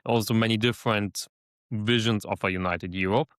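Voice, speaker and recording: A man hosting a podcast interview, measured and listening, with frequent fillers. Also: on the low side, fairly even intonation, fairly bright tone, clean tone, dry recording, clean studio sound. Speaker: podcast host.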